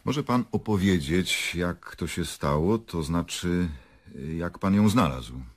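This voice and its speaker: Radio drama: official, probing prosecutor, deep and slightly husky, varied intonation. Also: male voice, expressive, slow, full character voice. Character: brisk, persistent male prosecutor questioning witnesses, dry and official. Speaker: actor in a radio play.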